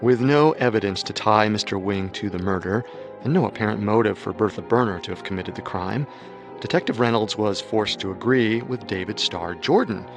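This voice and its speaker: A man narrating a true-crime story in a measured, scripted storytelling manner. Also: fairly low pitch, faint music behind.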